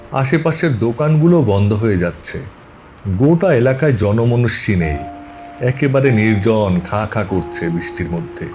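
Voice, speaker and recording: A man narrating a scripted ghost story for a cartoon as its storyteller. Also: mid-range voice.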